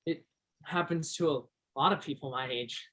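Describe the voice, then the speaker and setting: A man's voice: fairly high pitch, normal speed, sharp tone. Male actor as teenage boy, earnest, romantic dreamer, theatrical stage delivery. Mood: sheepish. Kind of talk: stage play acting.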